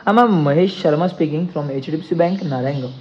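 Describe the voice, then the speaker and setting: A man's voice: a little high, normal speed, close to an everyday voice. A man with a Maharashtrian accent playing a polite bank loan officer on a phone call. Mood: formal, polite. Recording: muffled audio with clear background noise.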